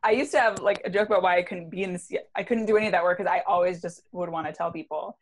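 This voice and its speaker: A woman's voice: lowish voice, very fast. A woman co-hosting a podcast, chatting casually with lots of "like" fillers. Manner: chatty, filler-heavy with frequent "like". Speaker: podcast co-host. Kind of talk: casual chat.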